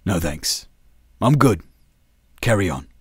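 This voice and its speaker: A man doing a low, weary army captain. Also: sing-song, takes it slowly, breathy edge, dry recording, studio quality, full character voice. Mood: relaxed. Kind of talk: audiobook character voice.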